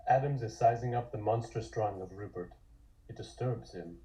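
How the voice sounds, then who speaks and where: A man's voice: lowish voice, normal speed, dark tone. A man reading scripted scene descriptions as the narrator of a table read.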